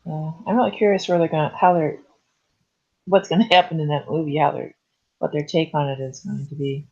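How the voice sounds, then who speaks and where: Raspy, creaky higher-voiced man, lo-fi. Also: steady tone, brisk, a little mellow. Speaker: podcast panelist. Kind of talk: casual chat.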